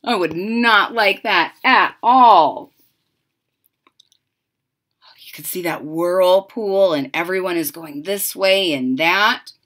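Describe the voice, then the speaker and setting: A woman's voice: lowish voice, slow, pauses often, a bit bright. A woman in her thirties or forties reading a children's picture book aloud, with dramatic emphasis and chatty asides. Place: room at home.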